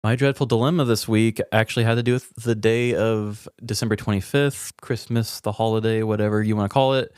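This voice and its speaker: A man in casual podcast conversation as co-host. His voice sits fairly low, and he talks informally and reflectively. The recording is dry and free of room sound. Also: steady tone, fairly quick, hardly any pauses, a little mellow, smooth, dry recording.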